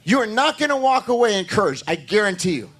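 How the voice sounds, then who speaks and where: A male pastor delivers a sermon with a hoarse voice that sits fairly high for a man. He preaches in church, pressing his points with rising, emphatic declarations. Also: sing-song, fast, a bit bright.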